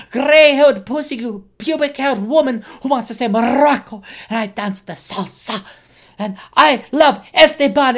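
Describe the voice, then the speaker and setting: A man's voice: very high voice, a bit bright, voice pushed up. A man putting on a gushing, lovestruck middle-aged woman. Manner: rolls her R's, breathy gushing.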